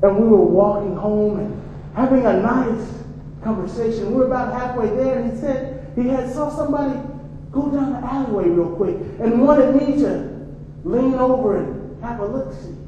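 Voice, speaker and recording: A man performing a grieving mother in a stage play, telling a painful story in a slow, halting way. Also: very high-pitched, warm tone, distant mic, slight background noise. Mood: sorrowful. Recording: echoey, dull live hall recording.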